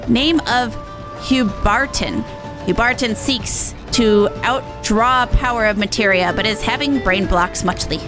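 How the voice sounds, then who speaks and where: A woman voicing a quirky goblin master from a fantasy game, speaking haltingly in odd broken phrases with little puffs. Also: a little high. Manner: halting, odd word order, puffing psh noises. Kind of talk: fan read-aloud of fantasy game dialogue. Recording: game music behind the voice.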